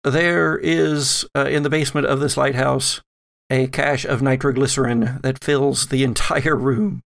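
A man playing a solemn, weary old grandmother who speaks gravely and haltingly in a tabletop roleplaying game. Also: medium pitch, steady tone, fairly bright tone. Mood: grim, wry. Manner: slow, grave, halting delivery.

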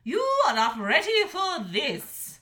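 A woman voicing a huge, angry female dragon who scolds her son in shrill, scornful tones. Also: very animated, slow pace, bright, forceful tone, full character voice. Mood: scolding.

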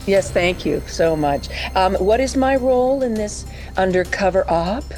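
A woman's voice: medium pitch, animated, measured pace, darkish tone, faint music behind, lightly acted. A woman playing a dotty, flirtatious mother in an improv sketch, genteel and coy. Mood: polite, dotty. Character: dotty, flirtatious mother, polite and easily distracted.

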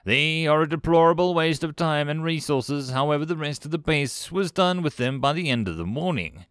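A man's voice: fairly high pitch, expressive, normal speed, a bit bright, clean tone, no room sound, clean studio sound, pitched above the performer's own voice. A man voicing a grave, suspicious insect-like alien who speaks formally and precisely in a narrated science fiction story.

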